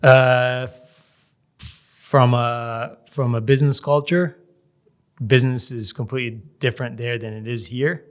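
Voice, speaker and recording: A man from Canada, a guest in a casual interview, talking in a measured way and often pausing to search for words. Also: medium pitch, fairly even intonation, smooth. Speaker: podcast guest, real estate developer.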